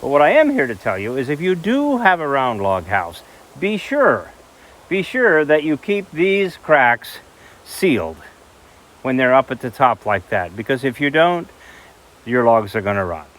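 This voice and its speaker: A man's voice: mid-range voice, sing-song, a little slow, a bit bright, rough recording. An older man from Idaho, explaining log building in a folksy, emphatic way, recorded outdoors by a cabin and indoors in a wooden room. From central Idaho, USA. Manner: folksy, emphatic teacher, gesturing at logs. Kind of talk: explaining outdoors, then interview storytelling.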